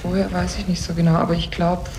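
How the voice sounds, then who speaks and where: A woman's voice: on the low side, little pitch movement, fast talker. A woman being interviewed, casually offering impressions and recollections. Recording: clear background noise.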